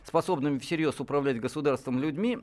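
A radio co-host in his sixties talks in a professorial, lecturing way. He is a man with a fairly high voice. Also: fast talker, a little mellow. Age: 60s. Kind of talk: radio talk show discussion.

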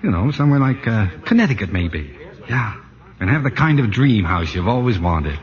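A man's voice: fairly low pitch, big pitch swings, normal speed. A man playing a stubborn, dreamy husband with an American accent, earnest and defensive, in 1940s radio acting. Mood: decisive. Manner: earnest, defensive, hesitant starts. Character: stubborn, dreamy middle-class husband, smitten with his house. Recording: dull lo-fi 1940s radio broadcast.

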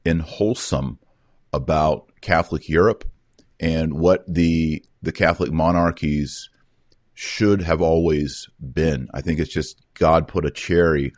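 A man with a Texan accent hosting a podcast interview, relaxed and joking, asking questions. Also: a bass voice, measured pace, no room sound. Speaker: podcast host. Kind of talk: casual conversational interview.

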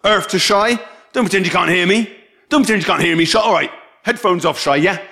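A man's voice: deep voice, moderate pace, a bit bright, voice pushed down. Man voicing a weary, scolding adult carer. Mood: exasperated. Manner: exasperated, scolding, clipped phrases. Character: weary, reproachful adult lecturing a troubled teenage boy. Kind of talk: dramatic literary reading.

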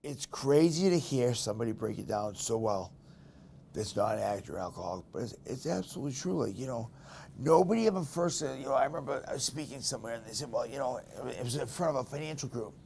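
Boston guy hosting a podcast, talks quick and blunt, average pitch. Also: gravelly, slight background noise. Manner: blunt, candid, animated storytelling. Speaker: podcast host. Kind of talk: casual podcast conversation.

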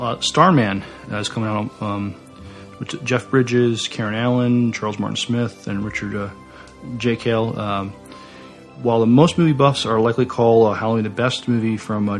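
A man with a creaky, fairly low voice co-hosts a podcast. His casual delivery is halting, with repeated words, and faint music plays underneath. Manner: halting, repeats words while searching for phrasing. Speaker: podcast co-host. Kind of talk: casual chat, reading notes aloud.

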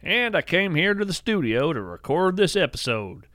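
A man's voice: a little high, very animated, normal speed, a bit bright, dry recording, clean studio sound. A man hosting and narrating a scripted storytelling podcast. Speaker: host and narrator. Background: plucked mandolin music.

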